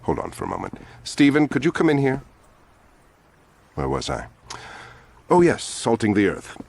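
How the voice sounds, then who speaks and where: An actor playing a smug, ruthless politician in a video game, polished and condescending, as if giving a speech. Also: male voice, medium pitch, wildly swooping pitch, normal speed, vocal fry, a little mellow, husky, exaggerated voice. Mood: casually condescending. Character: smug, ruthless, self-satisfied male politician. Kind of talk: video game cutscene acting.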